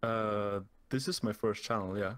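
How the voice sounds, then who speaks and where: Low-voiced young Norwegian man. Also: unhurried, a bit bright, smooth. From Norway. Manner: hesitant, many fillers and restarts. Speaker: guest YouTuber. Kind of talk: casual interview chat.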